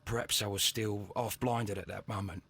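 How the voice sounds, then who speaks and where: A man's voice: lowish voice, fairly even intonation, normal speed, creaky, a bit bright, breathy edge, full character voice. A man playing an anxious young working-class Victorian with a London accent in a video game, earnest and stammering as he speaks. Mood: shaken, earnest. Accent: English, London. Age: young adult.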